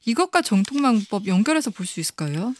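Calm radio host asking questions. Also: medium pitch, fast talker. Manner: soft brief backchannel replies, calm questions. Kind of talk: current-affairs interview.

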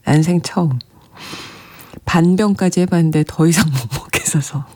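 Mellow radio host reading listener notes, soft and a bit breathy, laughs quietly a lot. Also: mid-range voice, moderate pace. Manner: gentle, chatty, often laughing softly.

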